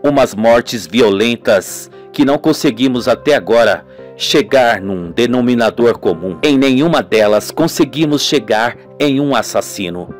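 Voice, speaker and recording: A man's voice: mid-range voice, a little slow, voice pushed down, lightly acted. A man voicing an earnest, weary police inspector in an amateur radio soap, over music. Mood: grave, intrigued. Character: weary, earnest male police inspector recounting grim cases.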